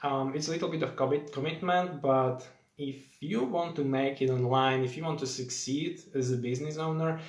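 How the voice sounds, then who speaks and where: A man's voice: medium pitch, steady tone, moderate pace, few pauses, echoey room. A young man from Slovakia with a Slovak accent, hosting a casual live Q&A in English, chatty and rambling with many fillers as he greets viewers by name. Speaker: host of a live stream.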